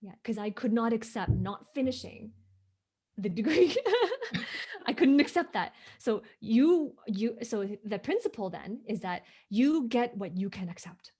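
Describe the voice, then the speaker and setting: A woman's voice: a little high, expressive, a little slow, darkish tone, slightly rough. Emphatic woman from hong kong, english not her first language, telling her story in an interview. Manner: emphatic, repeats "right?" for agreement. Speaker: guest.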